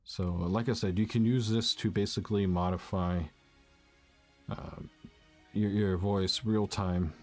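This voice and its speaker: A young man with a naturally low voice, casually walking viewers through a tech setup step by step, often saying "uh" and "basically". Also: fairly even intonation, measured pace, darkish tone, faint music behind. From Canada. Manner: casual, rambling, frequent "uh" and "basically". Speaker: tech tutorial youtuber. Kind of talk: casual screen-recorded tutorial. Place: home room with a bar fridge.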